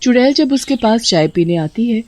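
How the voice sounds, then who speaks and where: A woman's voice: fairly high pitch, expressive, moderate pace, darkish tone, no echo. A woman narrating a scripted story as its storyteller.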